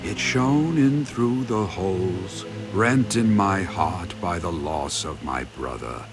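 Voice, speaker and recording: A man playing a solemn, haunted warrior in a video game, recounting his past in grave, halting, measured phrases. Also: sits low, animated, over music, exaggerated voice. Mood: wistful, tender. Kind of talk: dramatic video game narration.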